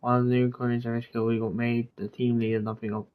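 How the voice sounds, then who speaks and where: A woman's voice: flat delivery, fast talker. A woman giving casual gameplay commentary as a streamer, slangy and offhand, on a muffled mic. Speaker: game streamer. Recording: muffled, dull low-fidelity mic. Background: game music.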